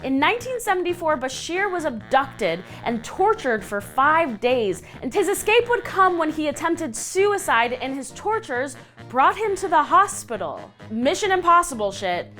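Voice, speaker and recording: A woman's voice: sits high, sing-song, moderate pace, fairly bright tone, faint music behind. A woman with an American accent hosting a scripted comedic countdown of creepy stories, theatrical, sardonic and slangy. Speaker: host of a web countdown show.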